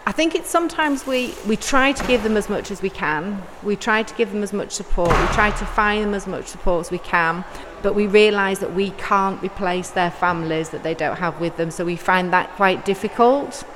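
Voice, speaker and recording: A woman's voice: mid-range voice, moderate pace, few pauses. Woman college leader in an echoey hall. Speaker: deputy head of adult and community at a college. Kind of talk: answering interview questions. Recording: long hall reverb with faint background noise.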